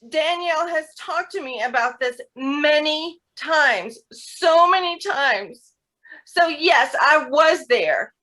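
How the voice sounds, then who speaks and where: A woman acting in a staged play reading as a confrontational, sarcastic woman who defends her friend with pointed, deliberate words. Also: high voice, bright, forceful tone, full character voice, low-quality recording.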